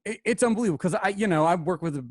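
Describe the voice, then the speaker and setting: A man's voice: high voice, animated, racing, dry recording, clean studio sound. A man hosting a casual conversation, enthusiastically praising the vocal recording.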